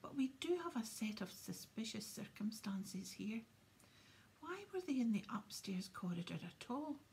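A woman voicing a cold, sneering male teacher who speaks slowly and softly. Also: on the high side, very animated, slight background noise. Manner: sneering, slow.

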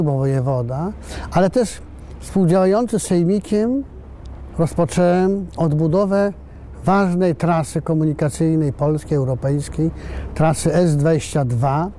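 An older man speaks in a slow, halting, measured way, stopping often between phrases. His voice sits a little high yet sounds mellow and soft-edged. Faint outdoor ambience and passing traffic hum behind him. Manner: measured, hesitant, pausing mid-phrase. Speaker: election candidate. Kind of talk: campaign interview statement. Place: outdoors in a castle courtyard.

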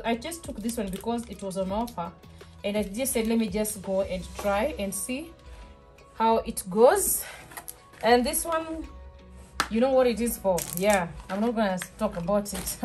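A woman talks through her grocery haul in a small home kitchen. Her voice sits a little high, and the talk is relaxed and chatty, full of fillers and restarts. Music plays clearly behind her. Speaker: vlogger. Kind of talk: casual shop-with-me haul chat. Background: background music.

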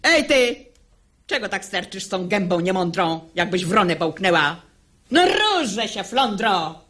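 A woman's voice: medium pitch, very animated, measured pace, fairly bright tone. An actress playing a sanctimonious, imperious old grandmother in a radio verse comedy, preachy and haughty. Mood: scornful. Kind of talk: classic stage comedy radio acting in verse.

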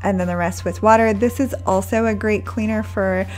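A woman's voice: medium pitch, fairly even intonation, moderate pace. Chatty woman narrating a cleaning routine. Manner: friendly, chatty product recommendations. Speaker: home cleaning vlogger. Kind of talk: scripted voice-over narration.

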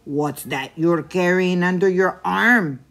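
A woman voicing a male goat-eating monster who drawls his threats slowly and loudly. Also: on the low side. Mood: menacing. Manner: slow, drawn-out, loud and menacing.

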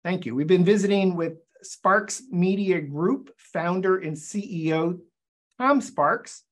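Older man, host, video call mic, slightly high voice, stumbling restarts. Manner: halting, many restarts and fillers. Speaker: show host and forum founder. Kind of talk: video call interview outro. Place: indoors, virtual backdrop. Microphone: webcam on video call.

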